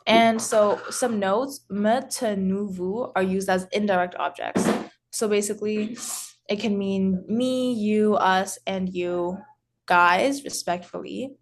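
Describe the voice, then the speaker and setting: A woman teaching French grammar in English, explaining slowly with many pauses, restarts and filler words. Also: mid-range voice, slight background noise. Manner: hesitant, frequent restarts and "so". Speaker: French tutor.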